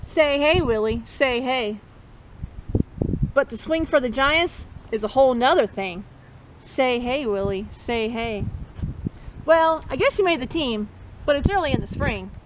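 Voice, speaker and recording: A woman's voice: sits high, lively intonation, unhurried, pauses often, noisy background. A woman reading a picture book aloud to children as storytime host, encouraging listeners and chanting along with them. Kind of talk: interactive read-aloud for children.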